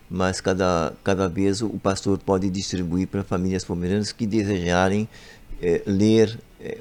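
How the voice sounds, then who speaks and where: A man's voice: low-pitched, darkish tone. A Brazilian man, an author, answering radio interview questions in an explanatory way, often stressing that things are very important. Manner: explanatory, repeats emphatic phrases. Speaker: author and guest. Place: radio studio.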